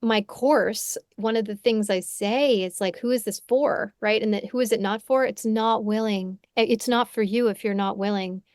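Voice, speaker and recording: A woman's voice: mid-range voice, fairly even intonation, moderate pace, few pauses, darkish tone, smooth, dry recording. Woman, forties, therapist host, reflective and searching, dry close home sound. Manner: thoughtful, searching, frequent restarts and "right?". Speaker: podcast host and psychotherapist. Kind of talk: reflective conversational interview. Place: home room. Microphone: headphones on a video call.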